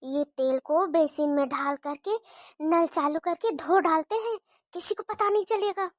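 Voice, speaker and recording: A sly, sharp-tongued daughter-in-law, played by a woman, sugary to her mother-in-law's face and plotting in hushed mutters behind her back. Her voice is very high and bright, recorded dry and close in a homemade family skit. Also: normal speed, no echo.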